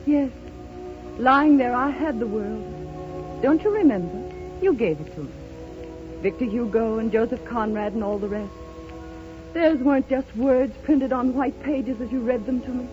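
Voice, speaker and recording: A woman's voice: on the high side, moderate pace, natural voice. An actress playing a serene, rapturous bedridden blind woman in a 1930s radio drama, speaking with lyrical, theatrical fervor. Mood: rapturous, insistent. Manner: theatrical, impassioned, lyrical declamation. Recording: muffled 1930s radio broadcast, loud music.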